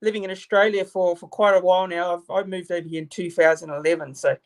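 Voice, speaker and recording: A man's voice: high-pitched, fairly even intonation, fast talker. A man from New Zealand with a New Zealand accent, hosting a casual live-stream interview on a video call, talking with many fillers and restarts. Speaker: YouTube channel host.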